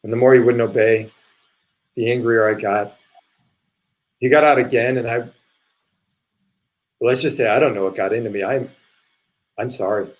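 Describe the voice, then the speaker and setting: A man playing a remorseful, rambling spiritual seeker in a live stage play reading, halting and conversational in delivery. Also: mid-range voice, fairly even intonation, natural voice. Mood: apologetic, subdued.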